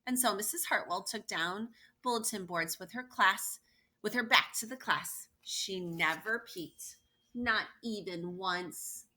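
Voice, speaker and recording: Female teacher, storytime read-aloud. Bright, forward voice, emphatic delivery, chatty asides to the children. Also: medium pitch, takes it slowly, a little hiss or hum. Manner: animated storytelling with emphatic stresses and playful questions to listeners. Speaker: teacher reading aloud to students. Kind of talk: expressive picture-book read-aloud with personal asides.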